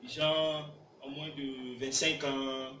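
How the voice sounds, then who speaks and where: Echoey Togolese man, bright voice. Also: mid-range voice, level tone, very slow, slight background noise. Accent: Togolese French. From Togo. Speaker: guest rapper. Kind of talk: casual radio interview answers. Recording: echoey room, distant mic, faint music.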